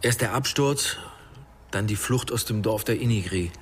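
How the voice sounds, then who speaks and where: Guy acting guarded survivor, lowish voice, reflective, radio play, bit of noise behind. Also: normal speed, lightly acted. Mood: haunted, reflective. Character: reflective, guarded male jungle crash survivor. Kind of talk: radio drama acting, conversational.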